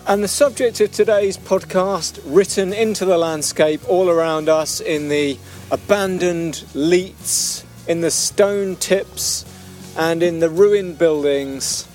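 A man's voice: sits high, takes it slowly, a little mellow, soft background music. A man hosting a walking podcast outdoors in a mountain valley, setting the scene in descriptive terms. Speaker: podcast host.

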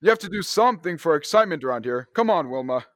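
A man's voice: fairly high pitch, lively intonation, quick pace, natural voice. A young man playing an eager, adventurous space hero in old-time radio serial style, earnest and full of gee-whiz enthusiasm. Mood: excited, eager. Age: young adult. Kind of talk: 1930s radio serial acting.

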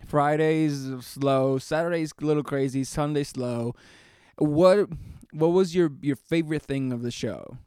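A man in his late twenties co-hosting a podcast, chatting casually and excitably, laughing and swearing often. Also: fairly high pitch, measured pace, clean tone, dry recording, clean studio sound. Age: 20s. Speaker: podcast co-host. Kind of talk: casual chat.

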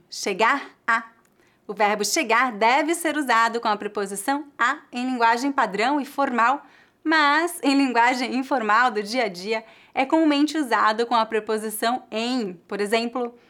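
Clear, measured Brazilian woman teacher. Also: on the high side, a bit bright. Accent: Brazilian Portuguese. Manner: clear, measured, enunciating examples slowly. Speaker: Portuguese teacher. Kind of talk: scripted grammar lesson.

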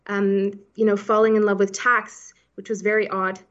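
Podcast guest: woman, 30s, medium pitch, chatty and discursive, on a dull lo-fi recording. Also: flat delivery, moderate pace. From Switzerland-born, raised in Hong Kong. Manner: chatty, peppered with "you know". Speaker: podcast guest, startup founder. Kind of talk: conversational interview answers.

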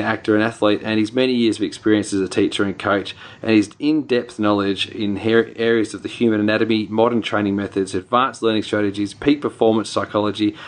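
A man with a New Zealand accent hosting a podcast, chatting in a relaxed, rambling way with many fillers. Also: lowish voice. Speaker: podcast host. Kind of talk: casual podcast chat.

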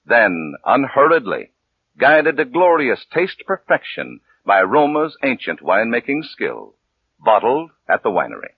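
A man's voice: mid-range voice, wildly swooping pitch, a little slow, slightly rough. A man announcing a commercial in a polished 1940s radio advertising style. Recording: narrow, tinny 1940s radio recording.

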